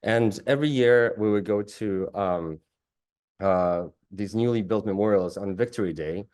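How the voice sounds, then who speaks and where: A man in his late thirties from Russia, giving an online talk about his work, thoughtful and halting, with frequent restarts. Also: on the low side, darkish tone. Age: late 30s. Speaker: guest artist giving a talk.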